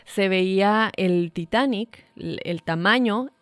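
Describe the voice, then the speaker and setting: A woman's voice: medium pitch, slow, dry recording. A woman, co-hosting a casual chat and telling a story with many fillers. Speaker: podcast co-host.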